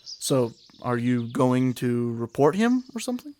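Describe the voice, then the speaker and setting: A man's voice: medium pitch, very animated, unhurried, darkish tone, slight background noise. A man playing an awkward, easygoing teenage boy in an audio drama, casual and hesitant. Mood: puzzled.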